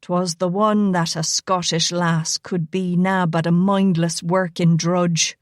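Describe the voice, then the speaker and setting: A woman's voice: lowish voice, flat delivery, slow pace, no room sound. Woman voicing a big Irishman, thick Irish brogue, teasing and charming, dry close read. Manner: playful thick brogue, lilting.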